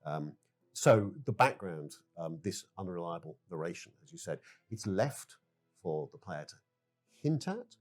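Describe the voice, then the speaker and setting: A man's voice: low voice, very animated, darkish tone, soft background music. A man answering interview questions as a game developer, speaking hesitantly and choosing his phrasing with care. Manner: hesitant, halting, careful phrasing.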